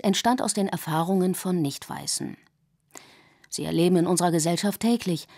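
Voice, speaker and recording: A woman in her forties, a native English speaker raised in Germany, reading her own radio essay in a measured, reflective way. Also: on the low side, vocal fry, a little mellow. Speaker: essay author and narrator. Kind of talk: scripted radio essay narration.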